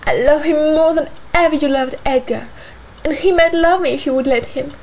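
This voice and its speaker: Brief: tearful, wailing young lady, voiced by a woman reader, high and pleading. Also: moderate pace. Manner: wailing, plaintive, high and loud.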